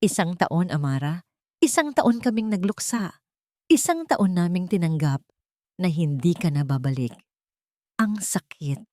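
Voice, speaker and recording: A woman's voice: on the low side, lively intonation, dark tone, no room sound. Audiobook drama role: remorseful man, acted by a woman, pleading, broken phrasing, dry sound. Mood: anguished pleading. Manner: pleading, halting, repeating phrases. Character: anguished, guilt-ridden young man begging forgiveness.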